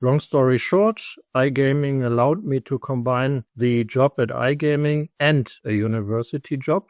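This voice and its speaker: A middle-aged man with a German accent speaks at a mid-range pitch, calm and measured, laying out his points in full sentences. The recording is close and dry, with no room around the voice. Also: no room sound. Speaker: guest, company executive and lecturer. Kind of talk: conversational podcast interview.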